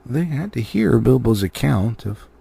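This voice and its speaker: A man's voice: medium pitch, big pitch swings, a little slow, gravelly, warm tone, breathy edge. A man narrating a storybook expressively as an audiobook reader.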